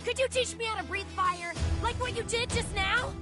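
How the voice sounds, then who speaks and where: A woman playing an eager, bubbly young pro wrestler in a video game, earnest, excitable and polite, under loud music. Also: extremely high pitch, moderate pace. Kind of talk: video game story cutscene acting.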